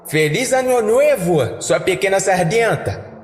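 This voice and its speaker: A man with a Brazilian accent voicing a boisterous, teasing young male friend in an audio story, energetic in manner. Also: high voice, a little slow, echoey room, big-room sound, slight background noise, voice pushed up. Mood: gleeful teasing.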